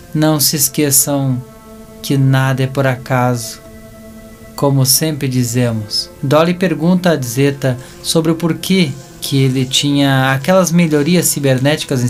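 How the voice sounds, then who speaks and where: Male narrator, Brazilian accent, mid-range voice, dramatic scripted storytelling, music underneath. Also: mid-range voice. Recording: music bed behind narration.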